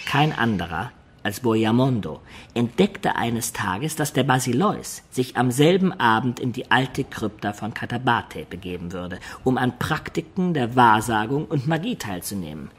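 An actor playing a wistful adventurer who recounts his life in a German radio play, in a measured, expressive storyteller's manner. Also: mid-range voice, breathy edge. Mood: narrating. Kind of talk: dramatic first-person narration in German radio play.

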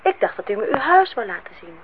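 An actress playing a lively young woman in a radio play, direct and chatty yet polite. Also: fairly high pitch, fast. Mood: matter-of-fact. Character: lively, frank young woman visiting an older acquaintance. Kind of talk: Dutch radio play acting. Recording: noisy, tinny old radio recording.

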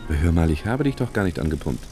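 An actor playing a smooth, calculating fortune-hunting man in a German radio play, self-assured and insinuating. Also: low voice, sing-song, fast, darkish tone, soft background music. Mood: challenging. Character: smooth, cocky, calculating male fortune hunter.

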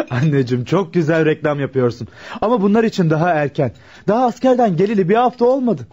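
A man's voice: a little high, expressive, brisk, a little mellow, slightly rough. An actor playing an affectionate, teasing young man back from military service in a radio play. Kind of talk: naturalistic radio play dialogue. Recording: dull lo-fi radio play recording.

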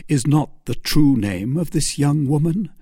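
A man's voice: fairly high pitch, animated, measured pace, mellow, slightly rough, no echo, studio quality, voice pushed up. A man voicing an earnest young male officer, intense and pleading, his voice raised and urgent. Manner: urgent, rising, insistent.

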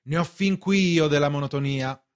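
An actor playing an indignant, bewildered bank clerk of thirty in a theatrical radio drama, protesting and questioning. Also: male voice, a little high, moderate pace, clean tone, natural voice. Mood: musing, petulant.

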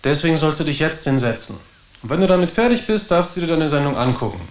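A woman's voice: low voice, animated, quick pace, darkish tone, roomy sound. A woman playing a curt, nagging, offhand parent in a naturalistic German radio drama. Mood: reproving.

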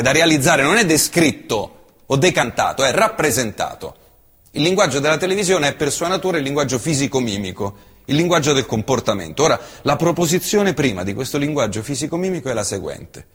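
Man, stage monologue, husky voice, impassioned, loud peaks and hushed drops, long theatre-hall reverb. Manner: impassioned declamation, swinging from loud outbursts to hushed low lines. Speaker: stage actor.

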